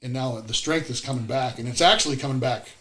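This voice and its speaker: A man's voice: medium pitch, fast, a bit bright. A man of about fifty chatting casually as a podcast guest, telling lifting stories bluntly, swearing and repeating himself. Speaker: podcast guest, competitive powerlifter.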